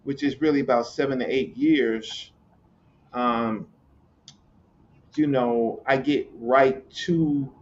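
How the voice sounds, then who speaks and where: A man from Virginia, explaining hieroglyphs in casual chat, halting, with many fillers and repeated words. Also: medium pitch, fairly even intonation. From Newport News, Virginia, USA. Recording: muffled lo-fi audio with faint ambience.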